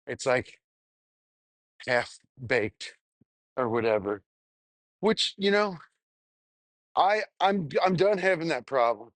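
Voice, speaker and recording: A man talking casually to his audience in a rambling, self-deprecating spoken journal, halting and crudely sweary. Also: medium pitch, big pitch swings, fairly bright tone, dry recording. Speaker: vlogger.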